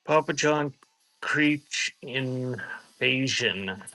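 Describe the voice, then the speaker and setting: A man's voice: mid-range voice, very slow, pushed and bright, soft background music, low-quality recording. A man hosting a live online auction in casual, off-the-cuff chat, muttering to viewers between items. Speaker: live auction seller and host.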